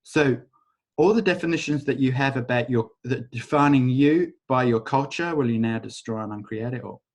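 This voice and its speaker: A man leading a live online workshop over a video call, talking in a casual, rambling way and softly chanting a repeated phrase. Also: mid-range voice, moderate pace. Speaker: workshop facilitator.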